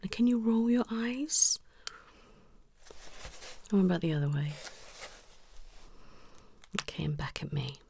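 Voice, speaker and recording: A woman whispering as a gentle eye specialist. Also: mid-range voice, animated, slow, creaky, long pauses, husky, no echo, exaggerated voice. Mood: soothing. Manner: soft whispered instructions, calls the listener "my lovely". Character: gentle female eye specialist examining a patient. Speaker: ASMR creator playing an eye specialist. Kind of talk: whispered ASMR roleplay.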